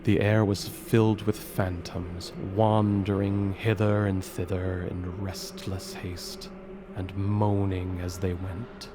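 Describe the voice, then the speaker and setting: A man giving solemn, deliberate dramatic narration of a story. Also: low voice, little pitch movement, background noise.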